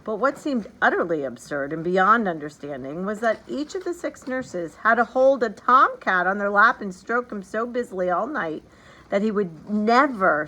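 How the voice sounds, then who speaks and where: A woman reading a children's story aloud in an animated, expressive, emphatic storytelling manner. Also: on the low side, rough recording. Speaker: storybook reader. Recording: background noise behind the voice.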